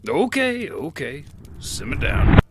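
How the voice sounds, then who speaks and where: A man voicing a haunted veteran gunslinger who narrates his own tale, gruff and sardonic, in a western fantasy audio drama. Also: fairly high pitch, very animated, slow pace, heavy background noise, heavily acted. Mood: world-weary. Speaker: narrator and lead.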